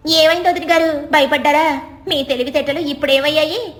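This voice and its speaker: A woman's voice: sits high, fairly even intonation, fairly quick, fairly bright tone, faint music behind, voice pushed up. A woman voicing a mocking, gossipy village woman in a story. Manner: taunting.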